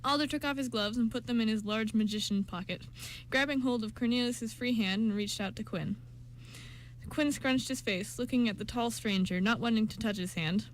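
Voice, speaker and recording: A woman's voice: fairly high pitch, moderate pace, a little hiss or hum, low-quality recording. A woman reading scripted narration for an amateur radio play, with small stumbles.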